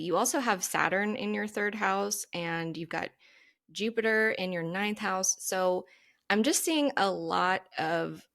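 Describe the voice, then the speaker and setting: A woman hosting a podcast interview and reading out an astrology chart, who talks in an enthusiastic, drawn-out way. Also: fairly low pitch, gravelly. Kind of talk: casual interview chat.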